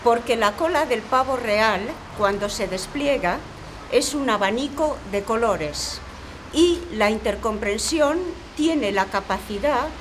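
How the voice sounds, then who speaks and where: A woman explains her idea in an interview. Her pitch is a little high, and there is clear noise in the background. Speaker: language education specialist. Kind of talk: explanatory spoken answer.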